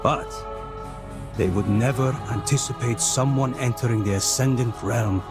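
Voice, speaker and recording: An actor playing a grave, knowing warrior mentor in a video game, formal and measured in delivery. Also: male voice, mid-range voice, close to an everyday voice. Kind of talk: dramatic video game acting. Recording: loud music over dialogue.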